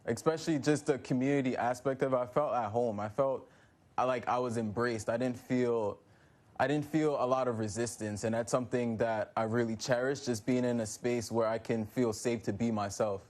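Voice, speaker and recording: A young man from New York answering interview questions in a relaxed, casual way, with frequent restarts. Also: medium pitch, normal speed. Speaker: guest.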